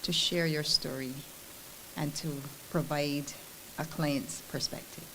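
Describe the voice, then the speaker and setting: A woman, hosting a formal event in a measured, polished way at a podium mic. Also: deep voice, fairly even intonation, fairly bright tone, slight background noise, rough recording. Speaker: presenter. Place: conference room at a press event.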